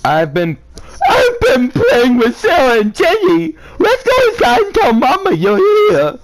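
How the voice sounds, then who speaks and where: A man voicing an excitable, giggly eight-year-old girl from a horror story, chirpy and shrieky. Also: very high voice, a little slow, heavy background noise, pitched above the performer's own voice, full character voice. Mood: giggly, excited.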